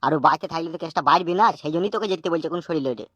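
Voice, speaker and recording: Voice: medium pitch, racing, a bit bright, dry recording, dull audio, lightly acted. A performer voicing an anxious, nagging old father in a comic cartoon, grumbling and scolding. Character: exasperated, foul-mouthed old village father, anxious and nagging.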